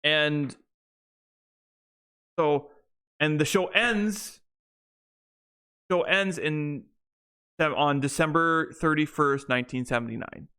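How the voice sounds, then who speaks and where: A man's voice: on the high side, slow pace, long pauses, pushed and bright, clear, resonant tone. A young man co-hosting a podcast, chatting casually and rambling with many restarts and fillers. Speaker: podcast co-host. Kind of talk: casual chat.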